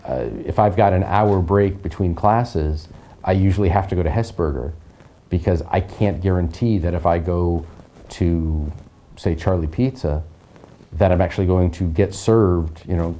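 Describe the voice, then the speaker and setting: Very deep, dark-voiced man. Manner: wry, unhurried, with "uh" and "you know". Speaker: teacher.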